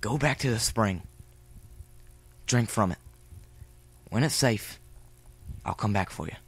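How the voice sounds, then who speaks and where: A man's voice: fairly low pitch, takes it slowly, slightly rough, soft background music. A man playing an ardent, pleading lovestruck boy in an audio drama, urgent and romantic. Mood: tender.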